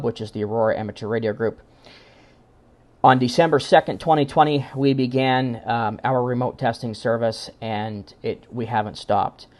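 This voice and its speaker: A man giving an informal technical presentation as a conference presenter, speaking in halting phrases with frequent pauses and repeated words. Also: mid-range voice, a little mellow.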